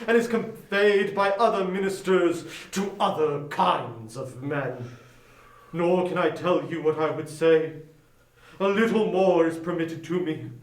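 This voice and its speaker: An actor playing the tormented ghost of a dead businessman in a dramatic reading, declaiming in drawn-out, anguished remorse. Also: sits high. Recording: echoey room sound.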